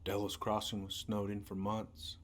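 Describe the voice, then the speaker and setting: An actor playing a gruff, weary middle-aged American lawman in a naturalistic game drama. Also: fairly low pitch, monotone, normal speed, natural voice. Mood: weary, regretful. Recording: noisy game capture with hum.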